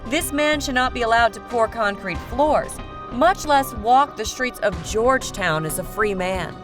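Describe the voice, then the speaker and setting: A woman playing a stern, accusing prosecutor in an animated comedy, speaking formally and deliberately. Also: fairly high pitch, music in the background. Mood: grave, persuasive. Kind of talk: animated comedy courtroom dialogue.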